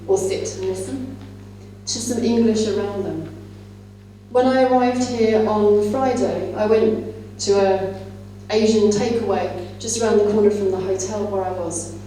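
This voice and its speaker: A woman's voice: medium pitch, warm tone. Female conference speaker, teacher trainer, conversational and explanatory, heard from afar in a very reverberant room. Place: large indoor conference room. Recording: echoey hall, distant mic, faint hum.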